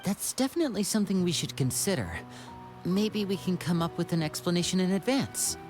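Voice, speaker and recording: A woman's voice: fairly low pitch, animated, fairly quick, music in the background, lightly acted. An actress voicing a gracious, thoughtful young woman adventurer in a video game.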